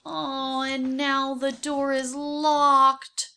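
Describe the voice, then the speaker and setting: A woman doing a gentle friendly horse. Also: high voice, little pitch movement, extremely slow, full character voice.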